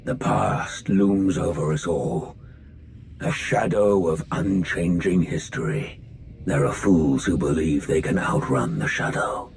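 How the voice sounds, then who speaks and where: An actor playing a brooding, menacing warlord in a game cutscene, gravelly and slow, speaking in grandiose, ominous phrases. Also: male voice, sits low, darkish tone, slight background noise, full character voice. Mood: brooding menace. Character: brooding dark warlord, menacing male villain musing on failure. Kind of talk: dramatic video game cutscene acting.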